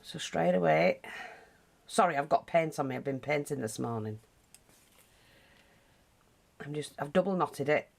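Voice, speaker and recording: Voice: medium pitch, measured pace, creaky, pauses often. British crafting tutor, stuffy nose, rambling friendly delivery. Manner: chatty, rambling with asides. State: blocked nose. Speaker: craft teacher.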